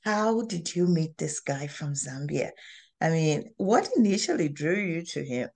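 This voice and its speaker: A woman host with a mellow, low-set voice opens her show in an upbeat, polished way. She speaks from indoors over a video call webcam. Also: expressive, a little slow. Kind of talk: scripted talk-show introduction.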